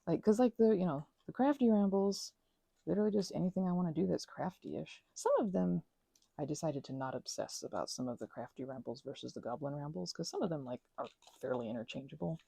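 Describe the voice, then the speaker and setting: Husky-voiced woman rambling while crafting. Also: deep voice, fairly quick, dark tone, no echo. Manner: rambling, chatty, many fillers and restarts. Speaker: ASMR and audio creator.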